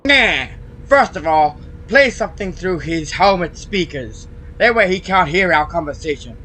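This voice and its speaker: A man's voice: a little high, expressive, a little slow, sharp tone, slight background noise, poor audio. A man playing an angry, commanding military villain in a sci-fi audio skit.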